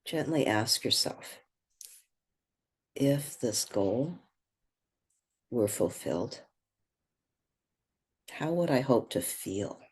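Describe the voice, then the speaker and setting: A meditation guide speaking gently and without hurry, leaving pauses between short phrases. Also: medium pitch, expressive, husky.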